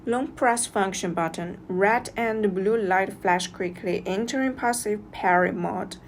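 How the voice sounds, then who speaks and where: A woman's voice: medium pitch, measured pace, a little hiss or hum. A woman reads a scripted product review as a narrator, going through instructions step by step.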